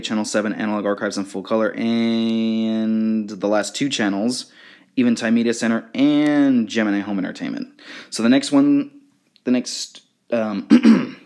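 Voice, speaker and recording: Casual vlogger: male, fairly low voice, unscripted and slightly halting, presenting from behind the camera. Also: darkish tone. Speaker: video creator showing fan merchandise. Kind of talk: casual show-and-tell vlog.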